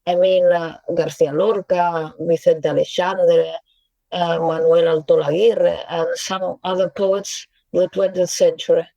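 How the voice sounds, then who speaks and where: Slow, thoughtful Russian-born woman translator. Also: fairly low pitch, flat delivery, vocal fry, no room sound, muffled recording. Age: around 40. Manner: thoughtful, hesitant, many pauses and fillers, soft laughs. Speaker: poet and translator, interview guest. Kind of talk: reflective interview answers.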